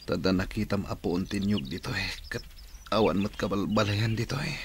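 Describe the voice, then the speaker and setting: Over clear background noise, an actor plays a weary village man in a radio drama. His voice is fairly low, plain-spoken and Ilocano-accented. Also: normal speed, natural voice.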